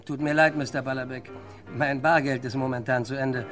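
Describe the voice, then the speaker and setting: An actor playing a cool, provoking male card sharp, polite, unruffled and terse, in a vintage German crime radio play. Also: mid-range voice, steady tone, a little fast, soft background music, natural voice. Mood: controlled protest.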